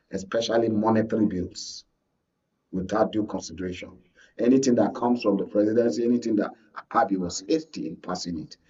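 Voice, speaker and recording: A Nigerian man giving unscripted political commentary as a guest analyst, explaining in a halting way. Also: on the low side, husky, recorded from a distance, dull audio.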